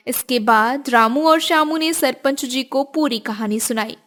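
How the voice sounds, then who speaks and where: A woman with a high voice narrates a cartoon moral story. She reads scripted lines as a storybook narrator would. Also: a little slow, a bit bright.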